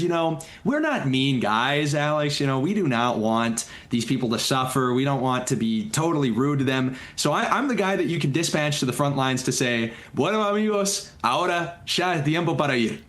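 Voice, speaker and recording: A man's voice: medium pitch, fairly bright tone. A young man from the United States talking casually as a talk show guest, with an easygoing, jokey manner. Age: young adult. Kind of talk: casual interview chat.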